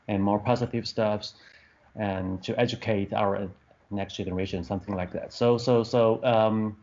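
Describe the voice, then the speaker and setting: A man's voice: lowish voice, darkish tone. A man in his fifties from Taiwan, speaking English as an in an interview, hesitant, with many restarts and fillers as he searches for words. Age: 50s. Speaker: film director. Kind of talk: interview answers. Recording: dull lo-fi podcast audio.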